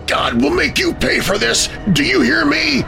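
A man voicing an older farmer, gruff and frightened, stammering and growling through his pain in a horror story. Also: high-pitched, sing-song, moderate pace, music in the background, pitched above the performer's own voice, heavily acted. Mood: desperate pleading. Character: agonized older rural farmer father, gruff, frightened, angry.

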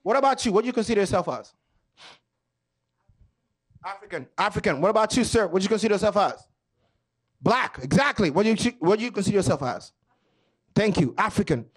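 High, husky stand-up comedian from Kenya. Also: male voice, brisk, pauses often. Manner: loud, animated, playful with the crowd. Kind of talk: stand-up comedy with crowd work. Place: comedy club stage.

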